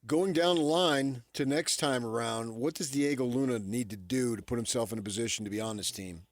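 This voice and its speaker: Male co-host, mid-pitched, interview questioning, dry close recording. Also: brisk, no room sound. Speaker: radio co-host asking questions.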